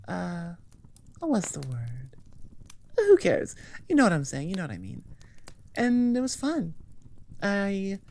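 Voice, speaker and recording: A woman's voice: mid-range voice, creaky, a little mellow. A woman hosting her audio channel in casual, rambling chat, informal and self-deprecating as she thinks aloud. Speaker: audio roleplay creator and channel host.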